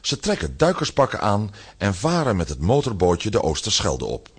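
A man's voice: lowish voice, wildly swooping pitch, moderate pace, husky. A man narrating a scripted children's audio story as a storyteller.

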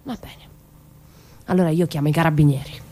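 A courageous mother who defies those who threaten her. Her delivery is intense and deliberate, with a bitter edge, in the manner of Italian stage drama on the radio. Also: low voice, fairly even intonation, warm tone. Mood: agitated, defensive. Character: defiant mother and murdered mafia witness, courageous, bitter.

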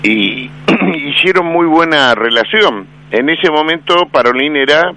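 A man with an Argentine accent, commenting on a radio interview in a measured, hesitant way with many fillers. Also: a little high, expressive, bright, forceful tone. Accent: Argentine Spanish. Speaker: radio commentator on Vatican affairs. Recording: dull lo-fi AM radio broadcast with faint noise.

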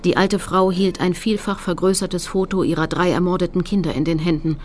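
A low female voice from an actress tells a first-person story for a documentary radio play. She is a determined activist, measured and sober in her delivery. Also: fairly even intonation, slight background noise, lightly acted. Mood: sober recounting. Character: determined female Nazi-hunting activist recounting her campaign. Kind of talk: scripted first-person narration.

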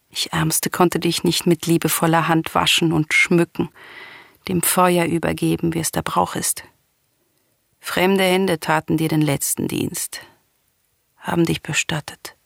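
An actress playing a proud, defiant, grieving Greek princess in a solemn radio drama, speaking in formal, declamatory verse. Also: female voice, on the low side, gravelly, no room sound, clean studio sound, exaggerated voice.

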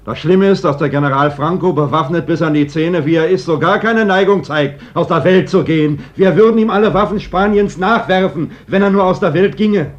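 An actor playing a shrewd, insistent working man in a German radio play, questioning deliberately with an ironic edge. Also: high-pitched, close to an everyday voice. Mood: bitterly ironic. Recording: dull, noisy vintage radio recording.

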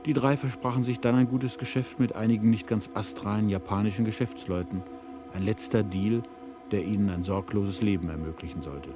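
A man's voice: fairly low pitch, a little fast. A man working as a radio announcer, reading scripted drama credits and story recaps. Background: music.

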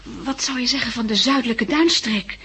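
An actress in a 1960s Dutch radio drama playing a game, slightly wistful woman survivor, speaking in a halting, thoughtful way. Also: a little high, sharp tone, slight background noise. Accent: Dutch, from the Netherlands.

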